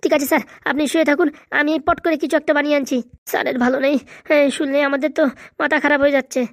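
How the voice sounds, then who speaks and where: A woman's voice: high-pitched, fairly even intonation, racing, no echo, clean studio sound, lightly acted. A woman voicing an eager, devoted male helper in a comic cartoon, deferential and fretful.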